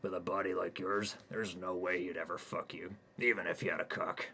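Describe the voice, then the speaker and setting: A man's voice: low-pitched, fairly even intonation, fairly quick, creaky, pushed and bright, a little hiss or hum, full character voice. A man playing a cocky, crude office alpha. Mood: boastful. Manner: boastful, crude, smug.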